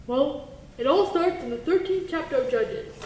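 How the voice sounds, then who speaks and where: Woman playing a high-pitched cheerful puppet storyteller, echoey room. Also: moderate pace. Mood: storytelling. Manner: exaggerated, animated, talks to children. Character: cheerful bossy puppet teacher telling Bible stories to children. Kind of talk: children's puppet show storytelling. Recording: echoey room with low rumble and noise.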